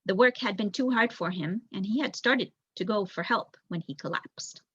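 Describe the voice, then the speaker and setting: Bright-voiced young woman reading a story. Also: medium pitch, moderate pace, husky, muffled recording. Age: young adult. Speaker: volunteer audiobook reader. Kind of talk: storybook narration read aloud.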